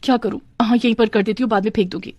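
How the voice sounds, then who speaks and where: A woman's voice: a little high, fairly even intonation, very fast, darkish tone, no room sound, studio quality, natural voice. Woman voicing a meek, distressed young daughter-in-law. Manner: complaining, pleading.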